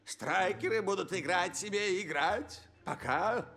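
A man's voice: high voice, unhurried. A man voicing a gloating, imperious older villain scientist in a dubbed cartoon, with theatrical delivery. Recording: music behind the voice.

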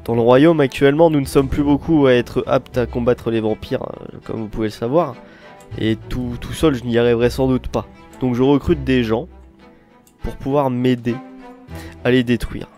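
A man's voice: medium pitch, steady tone, normal speed, dark tone, faint music behind. A man with a French accent doing casual gaming commentary and in-character roleplay. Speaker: YouTuber player and narrator.

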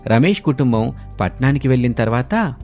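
A man's voice: mid-range voice, expressive, a little fast, a little mellow. A man narrating a scripted family moral story as a storyteller. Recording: background music under voice. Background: music.

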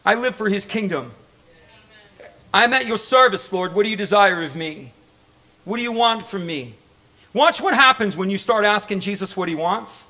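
Male preacher, middle-aged. High-set, sharp, driving delivery; impassioned, repeats for emphasis, throws questions at the congregation. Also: expressive, normal speed. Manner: emphatic, repetitive, prods listeners with rhetorical questions. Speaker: pastor. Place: church stage.

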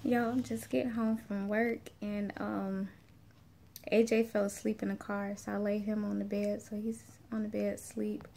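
A woman's voice: medium pitch, flat delivery, normal speed, creaky, warm tone, slight background noise. A woman, chatting casually and informally to camera like a family vlogger. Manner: informal, folksy, conversational. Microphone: phone camera at arm's length.

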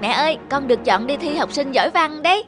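A proud little cartoon schoolgirl, with a high, childlike voice. She is eager and boastful in everything she says, with clear music underneath. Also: animated, fast. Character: proud, boastful little schoolgirl idolizing her famous mother. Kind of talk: animated moral-tale dubbing. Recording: music behind the voice.

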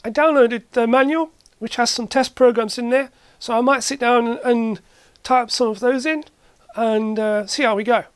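Halting hobbyist demonstrating gear hands-on. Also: mid-range voice, fairly even intonation, clean tone, dry recording. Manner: halting, matter-of-fact, thinking aloud while working. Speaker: host, retro computer hobbyist. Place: indoor cluttered workshop.

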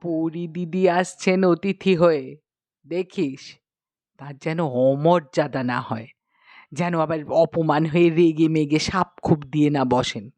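A fretful middle-aged queen mother from a storybook, acted out by a woman reader. Her voice sits low and she chides like a nagging, worried parent, exclaiming as she goes. The sound is dry and close. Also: takes it slowly, warm tone, no room sound, soft background music. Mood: anxious warning. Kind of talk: dramatised storybook reading.

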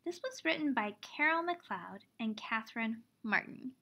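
Thirties teacher lady reading a picture book to small kids, really high voice, expressive, explains stuff simply. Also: unhurried. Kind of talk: children's storybook read-aloud.

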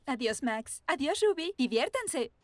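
A woman voicing a kindly, gently amused mother rabbit in a children's cartoon dub. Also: high-pitched, very animated, a little slow. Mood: fond. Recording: music under the voice.

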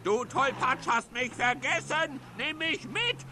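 An actor playing a grumpy villain who loves everything grey, scolding and shouting in a children's audio drama. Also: high voice, a little slow, noisy background. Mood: outraged.

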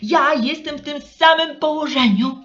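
A woman voicing a tired, ever-hungry yellow dingo dog from a storybook, eager and exclamatory. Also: sits high, a little slow, lo-fi sound, faint music behind, pitched above the performer's own voice.

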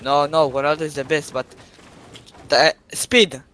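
A man from Greece on voice chat with a streamer, repeating himself insistently in halting, broken English. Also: fairly high pitch, fairly even intonation, bright, forceful tone. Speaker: online player talking to a streamer. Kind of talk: casual voice chat.

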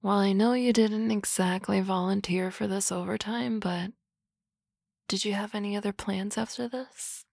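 A woman voicing a sardonic, teasing office-lady boss in an ASMR roleplay, with dry banter and soft whispery asides on a close mic. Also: mid-range voice, fairly even intonation, moderate pace, creaky, no echo.

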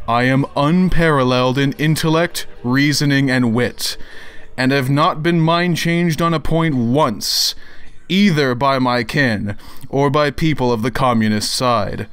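A man's voice: mid-range voice, measured pace, fairly bright tone, lightly acted. Man putting on a pompous, indignant internet crank. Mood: haughty, menacing. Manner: pompous, theatrical, overly formal. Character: pompous, indignant male internet crank issuing grandiose demands. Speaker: narrator of read-out messages. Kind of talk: dramatic reading of written messages.

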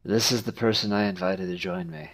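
A man's voice: deep voice, brisk, crowd noise, rough recording. A man giving casual, playful gaming commentary as he chats and teases a friend. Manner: playful, teasing. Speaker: gaming video host. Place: indoor room at a computer.

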